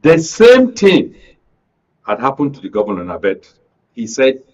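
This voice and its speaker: Husky, animated Nigerian male political commentator. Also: medium pitch, measured pace, dull audio. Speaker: studio guest, political commentator. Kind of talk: political analysis in a radio discussion.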